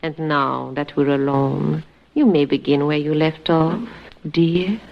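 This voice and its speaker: Low-voiced, coy sweetheart. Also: female voice, steady tone, slow, gravelly, heavily acted. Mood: coy, tender. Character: coy, affectionate woman in radio comedy. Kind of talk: 1950s radio acting. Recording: muffled vintage radio broadcast recording.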